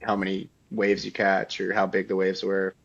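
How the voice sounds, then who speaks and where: A man's voice: low voice, completely flat delivery, normal speed, gravelly, fairly bright tone. A man co-hosting a podcast, chatting casually and enthusiastically with lots of "like". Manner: enthusiastic, peppered with "like". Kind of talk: casual chat. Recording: dull, muffled sound.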